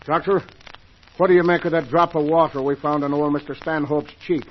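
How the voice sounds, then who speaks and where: A man's voice: a little high, steady tone, fairly quick, close to an everyday voice. A man playing a plain-spoken Irish police constable in an old-time radio mystery, with an Irish accent. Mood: puzzled. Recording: muffled, noisy old radio recording.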